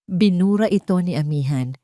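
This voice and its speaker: A lower-pitched woman tells a romantic drama in a measured, heartfelt voice. Her narration is close-miked, with a dry sound and no room around it. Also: lively intonation, mellow, dry recording. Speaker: storyteller.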